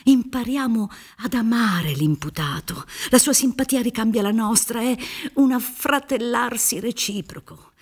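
A woman's voice: on the high side, unhurried. A middle-aged woman playing a theatrical, insinuating prosecutor in a radio drama, with a rhetorical, declamatory delivery. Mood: solemn, rapturous. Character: prosecutor in a mock trial, theatrical, gleefully insinuating retired official. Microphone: studio microphone on stage, headphones. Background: music.

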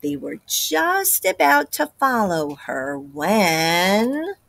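A woman's voice: medium pitch, very animated, extremely slow, creaky, a bit bright, no echo. A middle-aged woman, a teacher telling a picture-book story expressively to children. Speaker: teacher reading aloud to children. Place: classroom, indoors.

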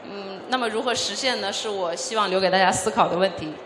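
A woman doing consecutive interpreting at a conference, measured and pausing with frequent fillers. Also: mid-range voice, fairly bright tone, a little hiss or hum. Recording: echoey hall with faint ambience.

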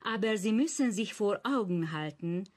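An actress playing an older Holocaust survivor in a German radio crime drama, explaining deliberately with wry bitterness. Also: female voice, medium pitch, lively intonation, a little mellow, lightly acted. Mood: wry, confiding.

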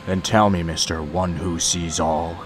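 An actor playing a gruff, sardonic smuggler turned rebel captain, casual, wry and blunt, in a fan film. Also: male voice, deep voice, fairly even intonation, measured pace, over music. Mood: sardonic, irritated. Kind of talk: fan film dramatic acting.

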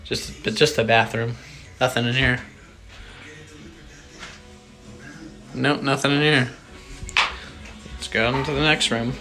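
A man's voice: mid-range voice, steady tone, normal speed, music in the background, natural voice. A man voicing a dogged furry beast on the hunt, muttering and repeating himself in an improvised home puppet film. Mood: searching.

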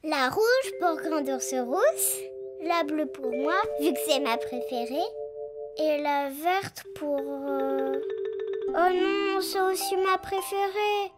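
Kind bear cub boy, childlike, earnest, very slow delivery, cartoon, background music. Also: sits high, lively intonation. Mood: hesitant, earnest. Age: child character. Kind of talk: preschool cartoon acting. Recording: music behind the voice.

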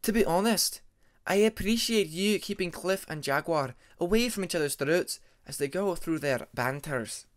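Guy doing a proper serious lady ice dragon, higher pitched, measured, storybook style. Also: lightly acted. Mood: formal. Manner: formal, measured, sincere. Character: earnest, formal female ice dragon classmate.

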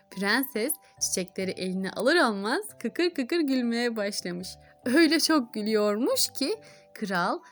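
High-pitched woman telling children's fairy tales. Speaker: children's storyteller. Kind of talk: expressive scripted fairy-tale narration for children.

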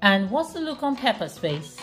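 A woman's voice: mid-range voice, big pitch swings, normal speed, fairly bright tone. A mother reading a picture book aloud to her young child, asking questions and encouraging her. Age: adult, mother of a young child. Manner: encouraging, repeats the child's answers. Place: home kitchen, indoors. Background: faint children's music.

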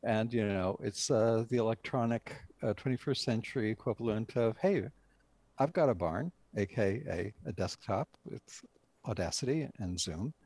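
A man's voice: fairly low pitch, moderate pace, a little mellow, no echo. An older man, a director, giving rambling conversational answers as a guest in a video-call interview. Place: indoor room at home.

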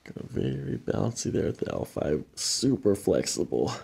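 Man, chiropractor, dark gravelly tone, instructive clinical talk, faint-to-clear background noise. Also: on the low side, sing-song, normal speed. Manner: instructive, explains each step. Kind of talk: hands-on clinical talk to a patient. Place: small carpeted clinic office, indoors.

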